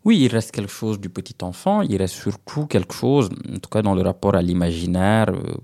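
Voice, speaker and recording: A man in his thirties from Senegal, whose first language is Serer, answering interview questions in a measured, hesitant way with frequent fillers and restarts. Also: on the low side, expressive, creaky, mellow. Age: 30s. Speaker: guest author.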